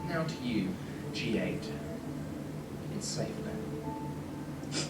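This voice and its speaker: A man playing a gentle, eccentric time traveller in a fan radio play, stammering, whimsical and reassuring. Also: medium pitch, animated, drawn-out words. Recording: roomy, distant, music behind.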